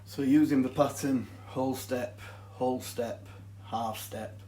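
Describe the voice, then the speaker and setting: A man's voice: mid-range voice, steady tone, a little slow. A man with a thick Yorkshire accent, methodically explaining guitar scales and chords as a teacher. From Yorkshire, England. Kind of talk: guitar tutorial. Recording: echoey room with guitar playing. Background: guitar music.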